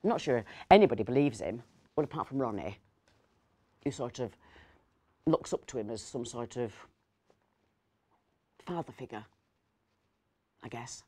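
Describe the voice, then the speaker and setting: A woman's voice: very deep voice, animated, unhurried, pauses often, mellow, exaggerated voice. Rambling, fussy woman, acted. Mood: exasperated, fond. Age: middle-aged. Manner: hesitant, digressive, self-correcting. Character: rambling, fussy, kindly woman host of Christmas dinner. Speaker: actor reading a story. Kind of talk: dramatic storytelling monologue. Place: indoors, seated in an armchair.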